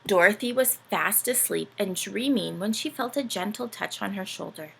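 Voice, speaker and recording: A woman reading a story aloud to children in an animated, expressive way, inviting them in. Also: medium pitch, normal speed, creaky, husky. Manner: animated, asks the young listeners questions.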